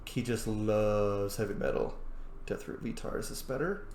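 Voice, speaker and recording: A man's voice: on the low side, moderate pace, darkish tone, reverberant. A man giving casual, chatty gameplay commentary, exclaiming and swearing as he plays. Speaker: let's player narrating gameplay.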